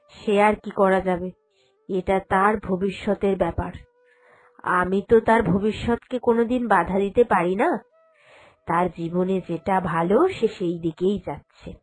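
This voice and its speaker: Woman as a gentle, dutiful young village wife. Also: mid-range voice, takes it slowly, pauses often. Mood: resigned. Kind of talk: cartoon character dialogue. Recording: music behind the voice.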